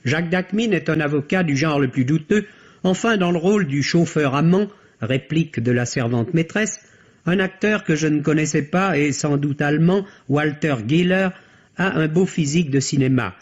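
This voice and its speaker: A man's voice: on the high side, normal speed. A man giving an articulate, dryly ironic film review in a radio column. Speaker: film critic. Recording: dull vintage radio archive recording.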